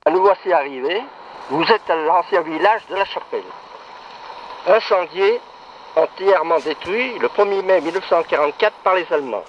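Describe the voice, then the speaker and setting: A man's voice: sits high, normal speed. A man recorded as a local storyteller, telling old village history in a plain voice with small restarts. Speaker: recorded local storyteller at a trail audio post. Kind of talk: recorded guided commentary. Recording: dull lo-fi playback with faint hiss.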